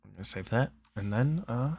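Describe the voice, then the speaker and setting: A man's voice: mid-range voice, expressive, normal speed, darkish tone, breathy edge. A man reading a game's story aloud in a plain, conversational way, mixed with casual chat. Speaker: streamer reading a visual novel aloud.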